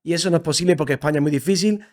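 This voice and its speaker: Man, Andalusian accent, thirties, podcast guest, fairly high voice, eager storytelling, studio. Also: racing. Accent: Andalusian Spanish. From Seville, Andalusia. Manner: warm, enthusiastic storytelling with frequent repetitions. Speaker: podcast guest, church leader. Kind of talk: casual conversational interview. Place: creative studio in Madrid.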